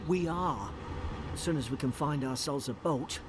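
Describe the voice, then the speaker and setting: An actor playing a loyal, worried naval gunner in a video game, earnest and respectful, with noise behind him. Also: male voice, mid-range voice, moderate pace, close to an everyday voice. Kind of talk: video game character dialogue. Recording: clear background ambient noise from game.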